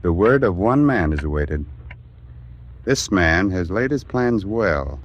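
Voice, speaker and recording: A brooding male narrator of a 1940s wartime radio drama. His voice is low, and he speaks slowly and deliberately, leaving ominous pauses for effect. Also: animated, a little hiss or hum. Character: grave, brooding male storyteller of a wartime chronicle.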